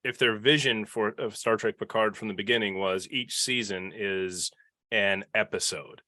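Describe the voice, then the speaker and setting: A man with a fairly low voice hosts a casual podcast panel. He feels his way through sentences, often restarting them. The sound is dry and close. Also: fairly even intonation, normal speed, pushed and bright, dry recording. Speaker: podcast host leading a panel.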